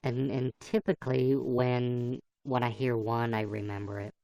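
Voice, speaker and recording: A man with a gravelly, voice-changed sound, chatting casually and easygoing as he hosts a livestream. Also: medium pitch, little pitch movement, a little slow, darkish tone, dry recording. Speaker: livestream host playing a computer-generated fox.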